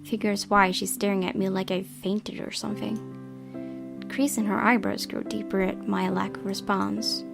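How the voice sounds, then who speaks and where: Creaky-voiced woman narrating a visual novel. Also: mid-range voice, level tone, normal speed, over music. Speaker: game player reading aloud.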